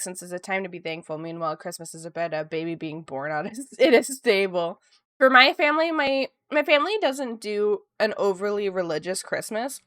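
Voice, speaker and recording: A woman's voice: a little high, dry recording, studio quality. A woman from Ontario, a sniffly art streamer chatting casually with her viewers while she draws. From Canada, Ontario. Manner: chatty, lively, reads chat aloud.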